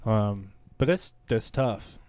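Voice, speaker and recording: A young man with a fairly low, mellow voice tells stories in a loose, profane podcast chat. The sound is dry and close-miked, with no room echo. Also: slow, clean tone. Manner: casual, profane, animated storytelling with laughs. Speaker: podcast co-host. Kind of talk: casual chat.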